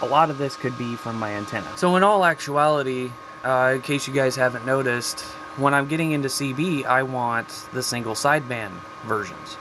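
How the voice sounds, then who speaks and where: A man's voice: medium pitch, normal speed, noisy background. A man giving a casual, folksy show-and-tell about his hobby gear as a video host, with informal slang.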